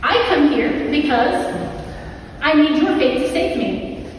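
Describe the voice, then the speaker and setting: A middle-aged woman with a high voice delivers a reflective, conversational sermon, repeating phrases as she invites people in. She is heard from a distance in a large, very reverberant church hall, with a little noise behind her. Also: lively intonation, takes it slowly. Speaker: preacher. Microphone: distant camera in the audience. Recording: echoey hall from far off, some noise.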